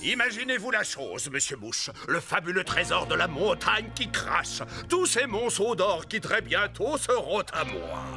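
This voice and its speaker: An actor playing a greedy, scheming pirate captain in a children's cartoon, pompous and theatrical, with a creaky voice. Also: male voice, high-pitched, huge pitch swings, a little slow, hardly any pauses, music in the background, exaggerated voice. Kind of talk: French-dubbed children's cartoon.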